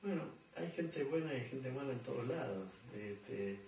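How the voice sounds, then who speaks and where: A man's voice: mid-range voice, lively intonation, normal speed, creaky, a little mellow. A man with an Argentine accent, answering interview questions in a rambling way, with many fillers and repeated words. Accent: Argentine Spanish. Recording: echoey room, distant mic, faint hum.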